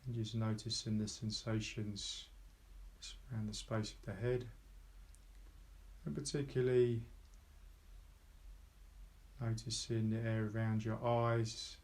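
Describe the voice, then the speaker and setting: Low, even-toned man guiding meditation. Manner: calm, unhurried, pausing between short phrases. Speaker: meditation guide. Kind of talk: guided meditation, slow soft instruction.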